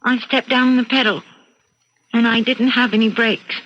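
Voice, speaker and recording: An actress playing an evasive, discontented wife in an old-time radio mystery, with measured, dutiful answers. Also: female voice, on the high side, flat delivery, fairly bright tone, lightly acted. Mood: guarded, puzzled. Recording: noisy, muffled radio drama recording.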